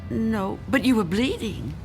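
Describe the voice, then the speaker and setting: Radio play role: fragile, reclusive old woman, fearful and pleading, high voice with wide swings. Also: lively intonation, darkish tone, faint music behind. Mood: stunned. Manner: tremulous, pleading, halting. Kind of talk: dramatic radio play acting.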